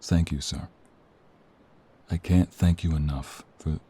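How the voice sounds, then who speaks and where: An actor playing a protective, weary mobster with a grave, devoted manner and a husky voice in an audio roleplay. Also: male voice, extremely low pitch, fairly even intonation, slow pace, noisy background.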